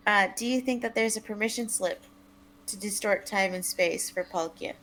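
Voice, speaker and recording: A woman's voice: mid-range voice, normal speed, background noise. A woman chatting casually and jokily as a co-streamer during a game. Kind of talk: casual, joking chat over a game.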